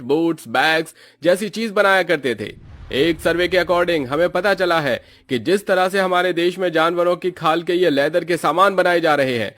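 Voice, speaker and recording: A man's voice: high voice, a bit bright, pitched above the performer's own voice. A man voicing an earnest Indian television news anchor, reading a grave bulletin emphatically inside a cartoon story. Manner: declarative, emphatic newsreading.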